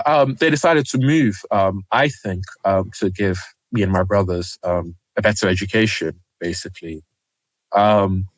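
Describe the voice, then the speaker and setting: A young man from Nigeria, a journalist guest, talking reflectively in an interview with frequent pauses and ums. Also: lowish voice, dry recording, poor audio. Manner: hesitant, many ums, soft-spoken.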